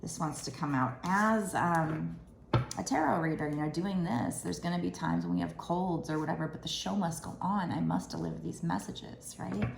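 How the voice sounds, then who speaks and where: A woman with a low, gravelly voice talks her way through a tarot spread, rambling and full of fillers. Her throat sounds raspy and tired. The room around her is echoey, with a faint hiss behind. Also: moderate pace. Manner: chatty, rambling, with many fillers. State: raspy from lack of sleep. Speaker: tarot reader. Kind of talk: casual tarot card reading. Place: room at a table indoors.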